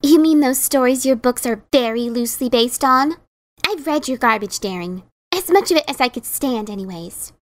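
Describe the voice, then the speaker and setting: An exasperated pegasus mare voiced by a woman in a storybook reading. Her voice is high and swoops with emphatic, scolding sarcasm. She is indignant and quick to take offense. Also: lively intonation. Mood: bitter, scornful. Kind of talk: dramatic reading of a fan story.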